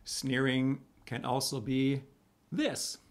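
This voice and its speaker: Middle-aged male priest, raspy and gravelly, conversational preacher. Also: mid-range voice. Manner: conversational, animated hand gestures, reads scripture slowly. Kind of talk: video homily to camera. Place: small room at home.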